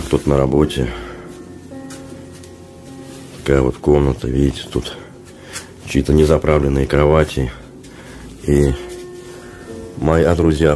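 A man in his thirties with a sore throat and failing voice, chatting haltingly to viewers as a vlogger in a small dorm room, recorded on a handheld phone. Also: a bass voice, darkish tone. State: sick with sore throat, lost voice. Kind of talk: casual vlog talk to camera. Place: small dormitory room, indoors. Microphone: handheld phone at arm's length.

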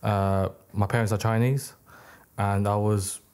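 Low-voiced young British-born man, rambling. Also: little pitch movement, unhurried, a little mellow, clean tone. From United Kingdom. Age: young adult. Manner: rambling, many restarts and self-corrections. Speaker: guest, record label founder. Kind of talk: casual interview. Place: small podcast studio. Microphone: boom mic on arm, headphones.

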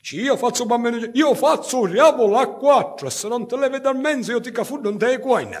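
A man's voice: extremely high pitch, animated, fast talker, pitched above the performer's own voice. A man voicing a tiny, defiant grandfather in his nineties with a Sicilian accent, in a comic monologue. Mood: defiant, cocky. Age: older. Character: defiant, cocky 92-year-old tiny grandfather.

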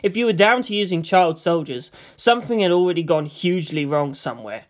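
A woman's voice: fairly low pitch, a little fast. Woman narrating a story. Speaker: audiobook narrator.

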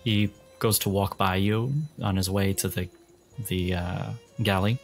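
A man narrating a tabletop game as game master in a deliberate, atmospheric, descriptive way. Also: deep voice, level tone, gravelly.

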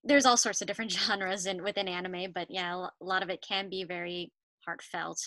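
A young woman guest speaking over a video call, thoughtful and hesitant with frequent pauses and fillers. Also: fairly low pitch, fairly even intonation, sharp tone, no echo. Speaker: guest voice actor. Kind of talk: casual video-call interview chat.

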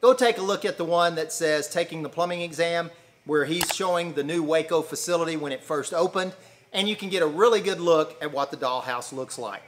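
A man's voice: fairly high pitch, fairly quick, slight background noise. A man, giving plain-spoken, folksy exam advice straight to camera from a training room. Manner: folksy, plain-spoken, repeats short commands. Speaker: master plumber teaching exam prep. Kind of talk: direct to-camera instruction. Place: indoor training room in front of a whiteboard.

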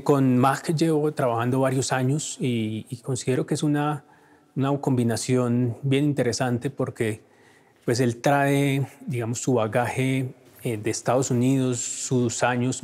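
A man's voice: medium pitch, darkish tone. Thoughtful Colombian man, hesitant. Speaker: violinist. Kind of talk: interview. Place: seated in a concert hall. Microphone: lapel.

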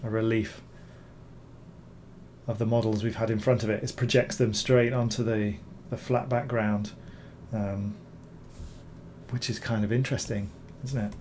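Croaky man demoing software. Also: fairly low pitch, flat delivery, a little slow, long pauses, slight background noise. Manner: rambling, repeats words, many ums. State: hoarse, recovering from illness. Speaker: bas-relief sculptor reviewing software. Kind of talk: screen-recorded software tutorial.

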